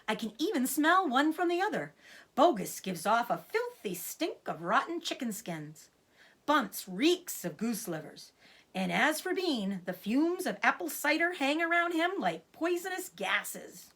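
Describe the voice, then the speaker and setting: A woman's voice: sits high, big pitch swings, measured pace, fairly bright tone, voice pushed up, full character voice. A woman voicing a cocky, affectionate male fox in a children's storybook, with a breezy, self-assured manner.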